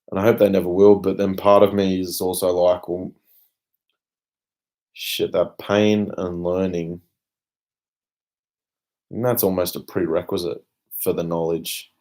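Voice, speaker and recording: Young Australian male vlogger, low voice, unscripted rant delivery, blunt, emphatic, sweary. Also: a little mellow. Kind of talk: unscripted personal rant to camera.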